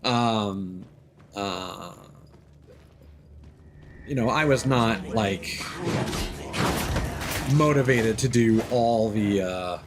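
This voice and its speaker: A man with a fairly low voice chats casually while he plays, thinking out loud in loose, rambling sentences. He teases the characters on screen as he goes. A faint hum of noise sits under his voice. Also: very slow, pauses often. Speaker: streamer playing a game. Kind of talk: casual let's-play commentary.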